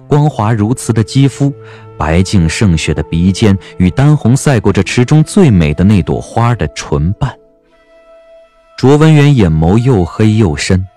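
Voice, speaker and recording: A man narrating an audio novel as scripted dramatic storytelling, with a measured, expressive literary delivery. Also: fairly low pitch.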